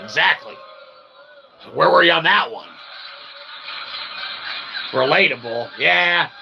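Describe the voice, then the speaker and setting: A man hosting a live stream in a rambling, wordy, mock-formal way, often checking in with short tag questions. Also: on the high side. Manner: wordy, pseudo-formal phrasing with frequent "okay?" tags. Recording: clear background noise, room ambience.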